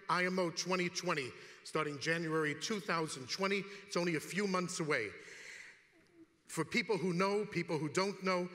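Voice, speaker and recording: A man's voice: a little high, sharp tone. A man in his sixties with a New York accent, speaking bluntly and jokingly in short punchy lines at a conference. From New York City, United States. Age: 60s. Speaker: shipping executive, conference speaker. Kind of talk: informal conference keynote. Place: large conference stage, indoors. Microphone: lapel. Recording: hall reverb from a stage sound system.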